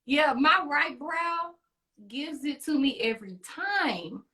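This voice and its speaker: High-voiced, chatty woman beauty host. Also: expressive, slow, sharp tone. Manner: chatty, encouraging, playful. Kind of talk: casual chat.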